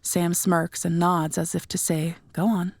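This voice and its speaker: A woman's voice: fairly low pitch, level tone, unhurried, no room sound. A woman reading scripted third-person narration for an audio drama.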